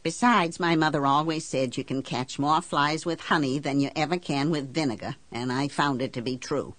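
A woman's voice: low-pitched, normal speed, fairly bright tone, no echo. A woman playing a blunt, embittered working-class island housewife who tells her story in folksy, ungrammatical country talk for an audiobook. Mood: wry, reflective. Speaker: audiobook narrator. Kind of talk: first-person storytelling in character.

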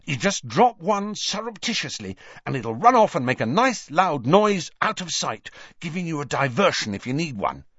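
Storybook role: brash young male joke-shop salesman, played by a man, cheeky and enthusiastic, pitched high and hugely animated. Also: moderate pace, husky, dry recording, highs cut off, full character voice. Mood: enthusiastic, boastful. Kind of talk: audiobook character voice.

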